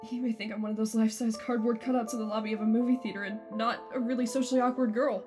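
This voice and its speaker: Casting: woman for self-conscious teen heroine in audio drama, slightly high pitch, earnest, easily exasperated. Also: level tone, quick pace, over music, close to an everyday voice. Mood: shy, smitten. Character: self-conscious, socially awkward teenage girl, defensive. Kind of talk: audio drama acting and first-person narration.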